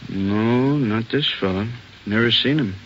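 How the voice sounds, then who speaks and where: An actor playing a hesitant, folksy rental manager in a 1950s radio drama. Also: male voice, mid-range voice, unhurried, highs cut off, very noisy, close to an everyday voice. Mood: unsure, casual.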